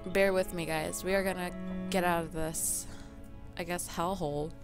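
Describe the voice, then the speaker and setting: A woman commentating on her let's play, a little raspy from just waking up, playful and mock-outraged at the game. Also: on the low side, fairly even intonation, normal speed. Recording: game music loud under her voice.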